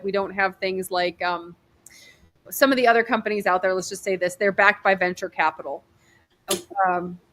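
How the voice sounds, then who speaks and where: A woman physician from New York state, hosting a casual livestream over a video call, chatty and rambling with quick bursts of excitement. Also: medium pitch. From New York state, USA.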